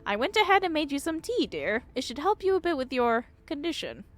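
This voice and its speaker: A woman voicing a gentle, motherly shopkeeper woman in a visual novel, soothing and welcoming. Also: sits high, very animated, fairly quick, faint music behind, voice pushed up.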